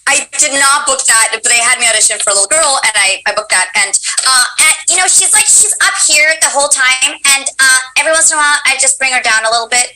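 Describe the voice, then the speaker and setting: A woman with a low voice hosting a casual chat as a voice actor, animated and acting out what directors said. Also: quick pace, hardly any pauses, poor audio. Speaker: host and voice actor.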